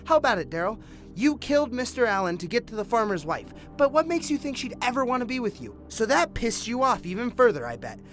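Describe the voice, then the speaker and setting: A man plays a hard-nosed detective in an audio drama, callous and insistent. He leans into his words with a forceful, sneering edge and swears freely. Faint music sits behind the voice. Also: extremely high pitch, very animated, fast talker, full character voice. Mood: accusing, sneering.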